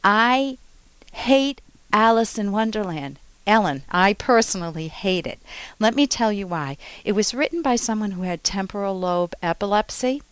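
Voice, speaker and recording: A woman's voice: medium pitch, expressive, moderate pace, smooth, dry recording, poor audio. A middle-aged woman hosting a call-in advice show as a psychologist, talking conversationally and emphatically with personal anecdotes.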